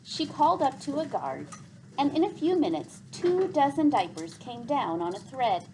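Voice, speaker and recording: A woman teacher reading a story aloud to pupils in an expressive, clearly enunciated way. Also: sits high, moderate pace, a little hiss or hum, low-quality recording.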